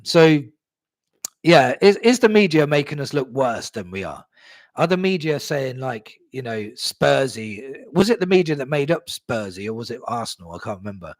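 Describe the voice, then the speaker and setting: A man's voice: a little high, no echo. A young man hosting a live stream, chatting casually about his club, reading out viewer comments and swearing easily. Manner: laid-back, chatty, swears, laughs at himself.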